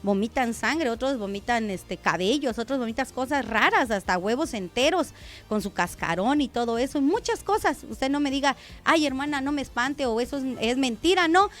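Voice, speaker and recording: A woman's voice: high-pitched, lively intonation, moderate pace, a bit bright. A woman pastor giving a conversational radio sermon, often addressing listeners as brother.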